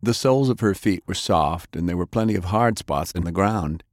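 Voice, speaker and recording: A man's voice: deep voice, moderate pace, no echo, studio quality. A man reading an adventure novel aloud as scripted audiobook narration.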